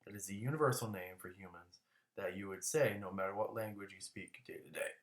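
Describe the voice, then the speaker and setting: A man's voice: low-pitched, brisk, echoey room. A man teaching a classroom lecture in a casual way, often restarting words and coughing now and then.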